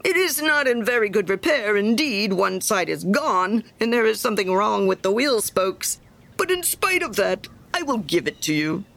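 A woman's voice: medium pitch, animated, moderate pace, gravelly, a bit bright. A woman voicing a pompous, self-righteous male miller who talks in a smug, preachy way. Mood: self-satisfied.

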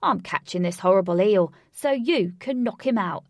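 A woman's voice: medium pitch, animated, moderate pace, vocal fry, no echo, clean studio sound. A woman voicing a bold, cocky young male fisherman in a storybook, brash and emphatic. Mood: determined.

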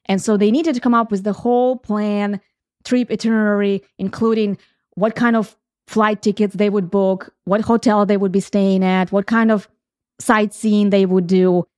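A woman's voice: medium pitch, fairly even intonation, normal speed, a little mellow, no echo, studio quality. A middle-aged woman from Ukraine, hosting a reflective solo podcast in an earnest, explanatory way. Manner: earnest, explanatory, thinks aloud with restarts. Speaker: podcast host.

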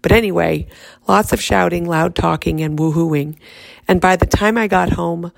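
Hoarse woman with a gravelly, fried voice. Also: on the low side, dry recording. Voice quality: raspy, fried voice. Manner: soft, unhurried speaking. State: hoarse from laryngitis. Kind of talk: reading her own poem aloud.

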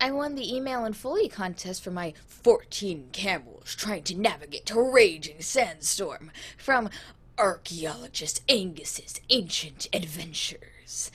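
Teenage boy radio intern, voiced by a woman: eager, earnest, excitable, very lively intonation, comedy podcast. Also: on the low side, unhurried, slightly rough. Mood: proud, gushing. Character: eager, innocent fifteen-year-old boy, starstruck radio intern. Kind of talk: scripted audio comedy acting.

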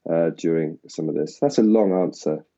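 A man from Essex, an actor, talking at length and laughing at himself in a relaxed interview. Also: low voice, normal speed. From England (Essex). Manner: rambling, self-deprecating, laughs often. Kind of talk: casual interview conversation. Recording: dull lo-fi sound.

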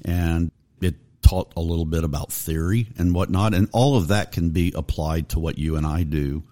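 A man's voice: low-pitched, normal speed. A man hosting a music podcast, chatting casually and asking long, rambling questions. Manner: relaxed, conversational, asks long rambling questions. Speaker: podcast host. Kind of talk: casual interview chat.

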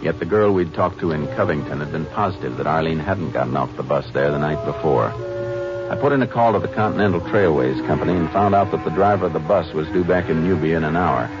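An actor playing a calm, matter-of-fact Texas Ranger who also narrates, in a 1950s radio drama. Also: male voice, very deep voice, fast talker. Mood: narrating. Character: steady, no-nonsense male Texas Ranger investigator. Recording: dull old radio broadcast with music.

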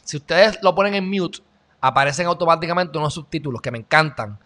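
A man's voice: on the high side, quick pace, sharp tone. Chatty Puerto Rican man streaming. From Puerto Rico. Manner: chatty, sarcastic, greets viewers by name. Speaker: channel host. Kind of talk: casual live-stream news chat.

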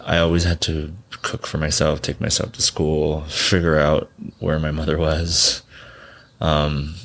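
A man with a very low voice and plenty of gravelly fry speaks as an interview guest. He comes from Southern California and tells his story bluntly, with casual profanity. He laughs often as he talks. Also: completely flat delivery, measured pace, fairly bright tone. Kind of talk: candid personal storytelling.

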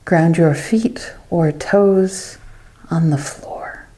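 Female meditation guide, low and mellow, unhurried devotional delivery with phrases that fade softly.

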